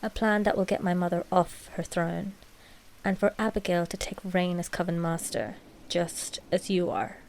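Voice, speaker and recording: A woman's voice: fairly low pitch, darkish tone, a little hiss or hum, natural voice, rough recording. A woman playing a sweet, scheming vampire royal. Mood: determined, scheming. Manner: formal, deliberate, coaxing. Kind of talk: scripted ASMR roleplay drama.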